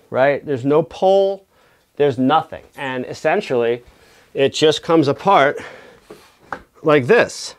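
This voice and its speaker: A man giving a casual product review, explaining things conversationally and often checking in with the listener. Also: a little high.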